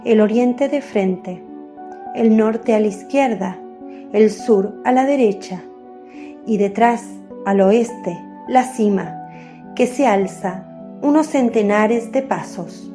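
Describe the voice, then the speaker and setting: A woman narrates from a script in a soft, mellow voice. Her reading is unhurried and descriptive, with faint music underneath. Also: medium pitch.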